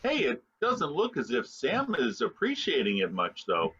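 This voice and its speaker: A man's voice: medium pitch, wildly swooping pitch, normal speed, pushed and bright. A man playing a hearty, folksy dinner guest in old-time radio style, exaggerated, on a dull, slightly noisy recording. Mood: jovial, savoring. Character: hearty, exclaiming male dinner party guest.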